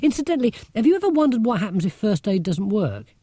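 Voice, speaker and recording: A man's voice: high-pitched, big pitch swings, quick pace, warm tone, no room sound, clean studio sound, pitched above the performer's own voice. A man voicing a brainy, blunt schoolgirl in an audiobook, matter-of-fact and sceptical. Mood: brisk, challenging.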